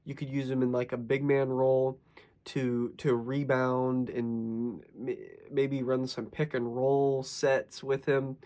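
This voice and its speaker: A young man hosting his own sports channel, his voice slightly raspy from a lingering cough. He talks casually and rambles, correcting himself as he goes, in an average-pitched voice. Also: little pitch movement, slow pace, mellow. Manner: rambling, self-correcting, conversational. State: sick with a cough.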